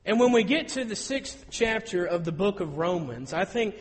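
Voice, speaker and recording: A man in his thirties preaching a sermon in an auditorium, telling stories with lively, rising emphasis. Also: high voice, moderate pace, big-room sound. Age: 30s. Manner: storytelling, animated with rising emphasis.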